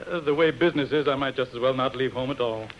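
An actor plays a weary businessman husband in a vintage radio play. His mid-pitched voice is dry, hesitant and understated. The recording is dull, with faint noise. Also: quick pace, close to an everyday voice. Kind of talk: 1940s radio drama acting.

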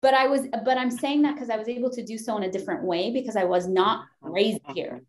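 A woman's voice: on the high side, quick pace, darkish tone, slight background noise. A woman in her thirties from Lebanon, a podcast guest talking passionately and emphatically on a video call from a room at home, swearing and laughing often. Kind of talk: passionate conversational discussion. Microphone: video call webcam.

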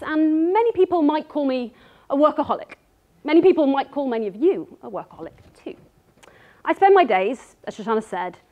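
A woman in her thirties giving a prepared talk on a dark stage, with an earnest, measured delivery as she reads from her notes. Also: sits high, highs cut off. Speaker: speaker. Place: dark stage before an audience.

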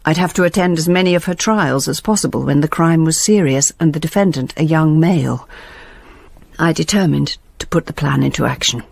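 A bitter, grieving grandmother confesses in a radio monologue. Her voice is creaky and husky, recorded close and dry, with deliberate phrasing. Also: deep voice, darkish tone, no echo, full character voice. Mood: cold resolve. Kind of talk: dramatic monologue in British radio drama.

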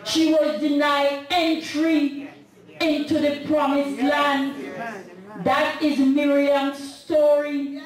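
A middle-aged woman preaching a sermon at a women's convention, half-singing her lines and rising to shouts. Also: sits high, little pitch movement, slow. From Canada. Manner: impassioned preaching, half-sung, with shouted peaks. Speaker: guest speaker at women's convention. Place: church sanctuary. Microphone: handheld mic. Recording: echoey church sound from a distant camera.

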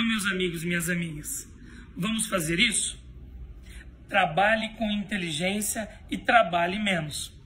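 A man's voice: sits high, bright, forceful tone, a little hiss or hum, poor audio. A man in his thirties from Brazil, a lawyer giving upbeat, emphatic daily tips to his phone camera outdoors under a patio. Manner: upbeat, emphatic, slows down on lists. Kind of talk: motivational selfie vlog. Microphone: phone at arm's length.

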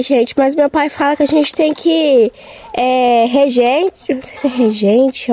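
A young woman, hoarse from a cold, chatting casually and directly to her viewers while walking around a farm outdoors. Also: sits high, darkish tone. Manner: chatty, talking straight to viewers. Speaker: vlogger. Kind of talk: casual vlog chat.

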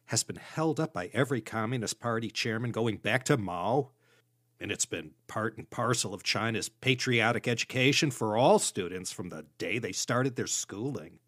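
A man from the United States hosting a history podcast, reading scripted narration in a measured storytelling way with dry asides. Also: medium pitch, a bit bright, no room sound. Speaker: podcast host.

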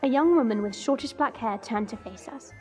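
A woman playing a haughty, irritable dragon princess who narrates her own story in a measured, scornful way. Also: on the high side, expressive, a little mellow, lightly acted. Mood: brooding. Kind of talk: first-person story narration. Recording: music bed under the voice.

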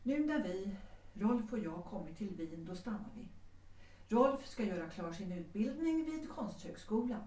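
Determined, dignified widow played by a woman for a period radio play; warm dark timbre, slightly distant, roomy. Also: mid-range voice, very animated, slight background noise, close to an everyday voice. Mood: firm. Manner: formal, dignified, insistent. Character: proud, determined genteel widow, a mother. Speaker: actor in an audio drama. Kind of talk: period audio drama acting, 1930s setting. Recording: echoey room, slightly distant.

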